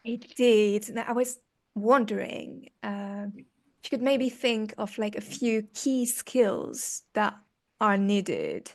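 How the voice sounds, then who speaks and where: A woman's voice: mid-range voice, big pitch swings, takes it slowly. A woman hosting a podcast, reading a scripted intro and asking friendly interview questions. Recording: intro over loud music.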